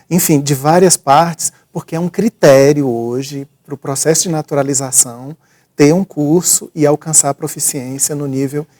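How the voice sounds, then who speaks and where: A man from Brazil, a university coordinator, talking through a program as a guest. His voice is dark and mellow, and his delivery is measured and explanatory. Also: a little high. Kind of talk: casual interview talk.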